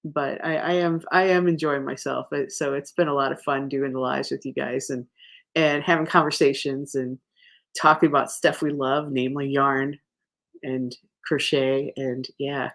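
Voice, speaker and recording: A woman's voice: a bass voice, steady tone, moderate pace. A woman hosting a live crochet stream, chatting casually and rambling with many fillers, restarts and easy laughs.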